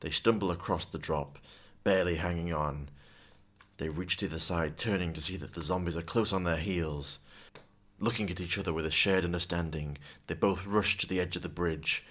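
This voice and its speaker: A man's voice: sits low, normal speed, fairly bright tone. A man giving dramatic, scripted story narration in a solemn, ominous manner.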